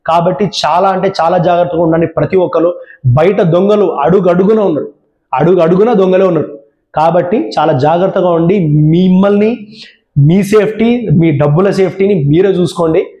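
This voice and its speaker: A man gives an earnest warning talk straight to his viewers and repeats his points often. His voice sits high but sounds mellow and dark, and the room rings with a noticeable echo. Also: normal speed, lo-fi sound. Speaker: channel presenter. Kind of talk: public awareness talk to viewers. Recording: roomy echo.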